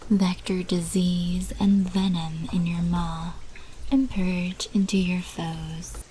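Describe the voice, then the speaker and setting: Female vampire mob lieutenant, acted by a woman, ASMR drama: unhurried in the extreme, breathy, somewhat low, sly and alluring. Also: level tone, mellow, a little hiss or hum, low-quality recording. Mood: seductive, hushed. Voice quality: breathy, often whispering. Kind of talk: scripted ASMR roleplay audio drama.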